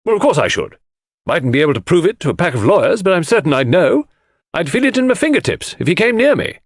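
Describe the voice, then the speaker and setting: A man voicing a gruff, opinionated woman in an audiobook, speaking in blunt, clipped phrases. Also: a little high, big pitch swings, fast, dry recording, clean studio sound, pitched above the performer's own voice. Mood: emphatic.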